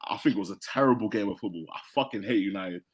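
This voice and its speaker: Husky pushy London young man. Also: fairly low pitch, rapid-fire. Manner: insistent, swears, talks over others. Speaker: caller in a fan hangout. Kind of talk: casual chat. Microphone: online voice call.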